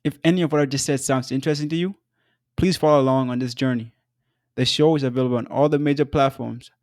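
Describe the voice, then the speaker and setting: A young man from South Sudan hosts his own podcast, telling his story in a measured, earnest voice. His pitch sits in the middle range, and he drops in an occasional um or restart. The recording is dry and close, with almost no room sound. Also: fairly even intonation, darkish tone, no room sound. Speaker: podcast host. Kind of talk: scripted solo podcast narration.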